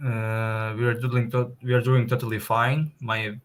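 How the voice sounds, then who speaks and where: A young man from Kazakhstan chatting as a guest on a call, in halting English full of fillers. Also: mid-range voice, little pitch movement, a bit bright. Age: young adult. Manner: halting, filler-heavy non-native English. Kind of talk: casual video call chat.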